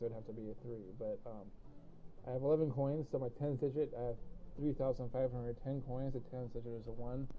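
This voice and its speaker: A young man with a cold-roughened, hoarse voice, casually commenting while playing a video game, explaining things with many fillers. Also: medium pitch. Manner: rambling, explanatory, self-deprecating, many fillers. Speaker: gameplay commentator. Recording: tinny phone-like audio with faint hiss.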